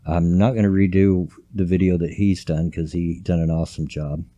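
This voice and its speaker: A man's voice: low-pitched, little pitch movement, normal speed, mellow, dry recording, dull audio. An older man, rambling folksily through casual, grumpy commentary recorded at home late at night. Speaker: vlogger. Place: home at night, quiet room.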